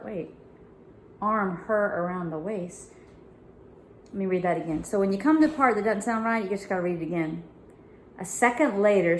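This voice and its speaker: A woman's voice: mid-range voice, slight background noise. A woman reading a story aloud like a teacher, expressively, with casual asides and self-corrections. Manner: expressive, pausing to correct and comment. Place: home sunroom. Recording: roomy indoor echo.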